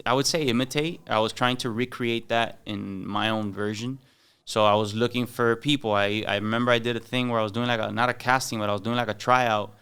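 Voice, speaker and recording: A man's voice: fairly low pitch, fairly bright tone, clean tone. A young man from Miami with a Cuban-American accent, chatting casually as a podcast guest, slangy and loose, dropping in Spanish words. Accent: Miami Cuban-American English. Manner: loose slangy talk mixing in Spanish, many "like"s and "bro"s. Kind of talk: casual chat.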